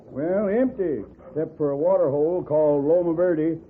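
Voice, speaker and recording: An actor playing a brusque, grumbling border station inspector in a radio western. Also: male voice, fairly high pitch, moderate pace, lightly acted. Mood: grudging. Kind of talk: 1950s radio western acting. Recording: tinny old radio with hiss.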